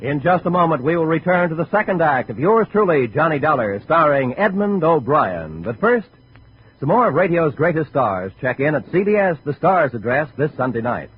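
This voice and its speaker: A man's voice: fairly high pitch, very animated, normal speed. A man working as a 1950s network radio announcer, delivering promotional copy in a polished, presentational way. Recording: dull, faintly noisy 1950 radio recording.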